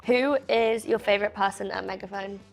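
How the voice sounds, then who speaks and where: A woman asks questions in a casual, teasing style. Her voice has a noticeable creak at a middling pitch, and music plays behind her. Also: fairly even intonation, brisk. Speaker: interviewer. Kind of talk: casual workplace interview.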